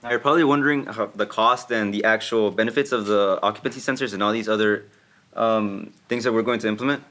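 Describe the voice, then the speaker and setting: A man's voice: on the low side, fast, a bit bright. A teenage boy from Brownsville, Texas, explaining costs and figures in a student presentation, with some hesitations. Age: teens. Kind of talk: rehearsed presentation.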